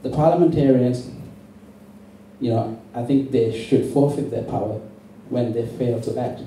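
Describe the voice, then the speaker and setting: A man from Sri Lanka with a very dark, muted voice delivers an intense political speech. He is emphatic and deliberate. He is heard from a distance in a heavily echoing room. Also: medium pitch. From born in Sri Lanka. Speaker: campaign speaker at a public meeting. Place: indoor public meeting venue. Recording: very echoey, distant, faintly noisy hall recording.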